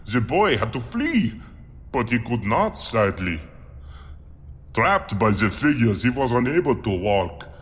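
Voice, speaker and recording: A man's voice: medium pitch, very animated, a little slow, a bit bright. A man playing a huge, courteous cannibal monster in an audio drama, earnest and proud, with formal, theatrical delivery. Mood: solemn, dramatic. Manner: formal, polite, theatrical verse recital. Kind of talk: audio drama acting with poetry recital. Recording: long hall-like reverb.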